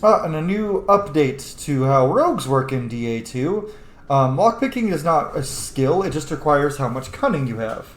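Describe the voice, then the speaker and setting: A man's voice: fairly high pitch, very animated, normal speed. A man giving casual let's-play commentary, blunt, opinionated and freely swearing. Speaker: gamer, streamer. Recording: echoey room with faint game music.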